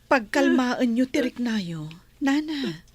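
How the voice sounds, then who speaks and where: An actress playing a patient, sympathetic lady of the household in a serial drama, speaking in a soothing, measured way. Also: on the high side, sing-song, slightly rough, no room sound, full character voice.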